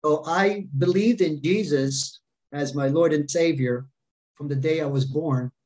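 A man in his fifties telling his personal faith testimony in short phrases, pausing for an interpreter. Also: on the high side, darkish tone, poor audio. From America. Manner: speaks in short phrases with pauses and fillers. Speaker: guest speaker sharing testimony.

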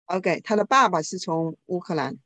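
A woman interpreting a speaker's talk into another language live, quickly and informally, often correcting herself. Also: fairly low pitch, a bit bright.

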